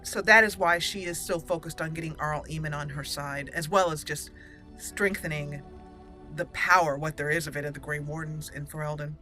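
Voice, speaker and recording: A woman's voice: low voice, steady tone, normal speed, bright, forceful tone, soft background music. A woman hosting a video game let's play with casual commentary.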